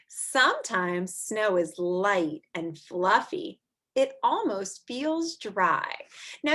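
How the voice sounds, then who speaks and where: A woman in her thirties reading aloud and explaining to young children in an animated, expressive way, like a teacher. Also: mid-range voice. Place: small furnished room at home, indoors.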